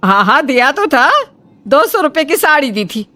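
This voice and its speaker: A woman's voice: sits high, big pitch swings, moderate pace, pushed and bright, dry recording, full character voice. A woman voicing an indignant, domineering middle-aged mother-in-law who scolds dramatically in a comic family story. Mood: defensive, indignant.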